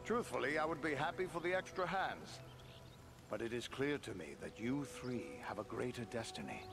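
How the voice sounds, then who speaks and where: A man playing a dependable ranger captain in a fantasy game, speaking in a grave, measured, formal manner. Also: medium pitch, lively intonation, gravelly. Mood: grave counsel. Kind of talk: fantasy video game cutscene acting. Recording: music underneath.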